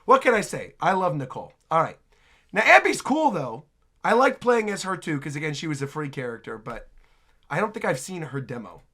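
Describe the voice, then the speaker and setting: Animated, bright-voiced male reaction host. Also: on the high side, brisk. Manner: excitable, repeats himself for emphasis. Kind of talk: casual reaction commentary.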